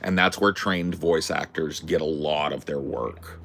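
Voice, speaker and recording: A man with a deep, distinctive voice talking in a casual, rambling way, opinionated and emphatic, swearing freely. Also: fairly even intonation, measured pace, fairly bright tone, a little hiss or hum. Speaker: host.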